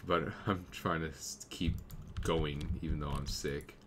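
A young man with a slight cold, casually commenting on his game as he plays and thinking aloud, now and then clearing his throat. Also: rumbling low, fairly even intonation, measured pace, slight background noise. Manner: easygoing, thinking aloud while playing. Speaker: gameplay commentator. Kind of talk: casual let's play commentary.

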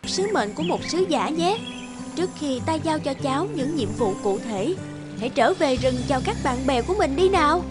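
A woman's voice: sits high, expressive, moderate pace, music in the background. A woman voicing a stern little spring fairy in a cartoon. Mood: solemn. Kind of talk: animated fairy-tale dubbing.

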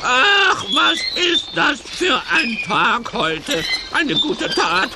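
A man's voice: very high voice, wildly swooping pitch, extremely slow, heavy background noise, full character voice. A man playing a whiny, grumpy little villain who squawks and complains in a children's audio play. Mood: sulky. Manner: squawking, complaining, easily panicked.

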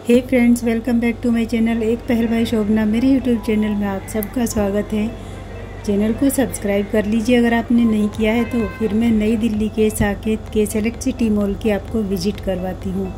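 A woman's voice: fairly high pitch, flat delivery, moderate pace, over music, low-quality recording. A middle-aged woman with an Indian accent narrating a travel vlog, chatty and explanatory, speaking directly to viewers. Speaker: vlogger and channel host.

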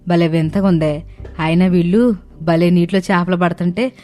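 A woman voicing a scolding older mother-in-law in an animated story, exasperated and colloquial. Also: fairly low pitch, moderate pace, faint music behind, lightly acted. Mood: puzzled. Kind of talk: animated moral story dialogue.

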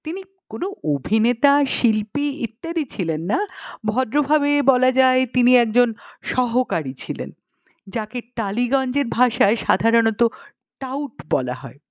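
A woman narrating a humorous audiobook story in an expressive, scripted reading style. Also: on the high side, unhurried, no echo.

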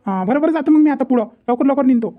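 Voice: sits high, animated, fast, slight background noise. A performer voicing a cheeky, grumbling young village lad in a comedy cartoon, with a Vidarbha Marathi accent and a habit of repeating himself. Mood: nervous, placating. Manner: muttering complaints, repeating himself. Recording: muffled, phone-like lo-fi sound.

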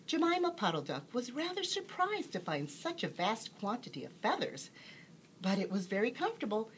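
Low, very expressive woman storyteller. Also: slight background noise. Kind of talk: expressive bedtime story narration.

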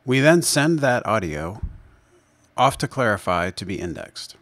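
Low-pitched man narrating a software demo. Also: sing-song, a little slow, no echo. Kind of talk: screencast tutorial narration, unscripted.

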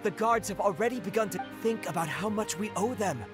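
A man's voice: high voice, a little fast, over music, natural voice. A man voicing an agitated, gossiping townsperson in a video game. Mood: insistent, indignant. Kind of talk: English video game voice acting.

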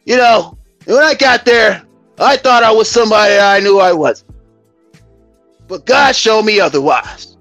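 An American man around fifty hosting a live stream as a comedic mock church testimony, with a theatrical, drawn-out, preacher-like delivery. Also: high voice, creaky, fairly bright tone, no echo, faint music behind. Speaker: YouTube live stream host.

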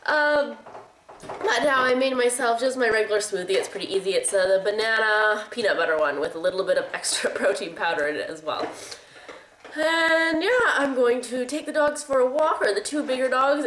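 A woman's voice: fairly high pitch, expressive, normal speed, a bit bright, slight background noise. A woman in her twenties with a congested voice from a cold, chatting casually through a food diary vlog, rambling and laughing often, filmed on a handheld camera in her home kitchen. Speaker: vlogger. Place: home kitchen and living room. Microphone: handheld camera at arm's length.

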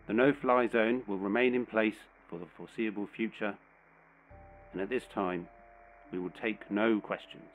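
A stern military general, acted by a man delivers a formal statement in a low, firm voice. It comes through a thin, narrow radio band, with music underneath. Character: stern male army general, firm and official. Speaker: army general giving a statement. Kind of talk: formal prepared press statement.

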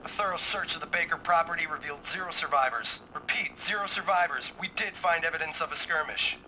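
Sharp, bright radio operative, crisp protocol. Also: male voice, fairly high pitch, fast talker, a little hiss or hum, rough recording. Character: military operative reporting by radio.

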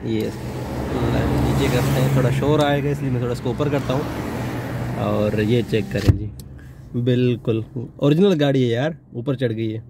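A man's voice: mid-range voice, a little slow. A man giving casual, informal vlog commentary on the sights around him, often exclaiming in admiration. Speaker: vlogger and delivery driver. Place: in a moving car and outdoors. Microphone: phone held in hand, no external mic. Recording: loud traffic and outdoor noise, no microphone.

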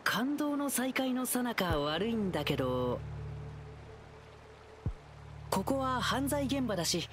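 An actor voicing a calm, wise young guardian who lectures gently in a game cutscene. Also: male voice, extremely high pitch, measured pace, background noise, heavily acted. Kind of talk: Japanese game voice acting.

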